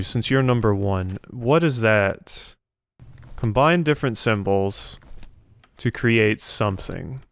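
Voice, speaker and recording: Clear-voiced man musing through a game. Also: on the low side, no echo. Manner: musing, questioning himself. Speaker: let's play host. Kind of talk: casual gameplay commentary, thinking aloud.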